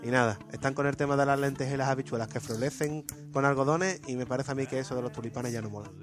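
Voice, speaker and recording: A man's voice: medium pitch, fairly even intonation, fast talker. A man from Spain with an Andalusian accent, co-hosting a podcast in a playful, joking casual chat. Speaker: podcast co-host. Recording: background music.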